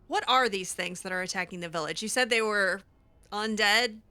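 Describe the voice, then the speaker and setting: A woman's voice: mid-range voice, pushed and bright. A woman improvising in character for a game roleplay, sardonic and hesitant, given to sighing. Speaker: gamer voicing her own character in a roleplay playthrough.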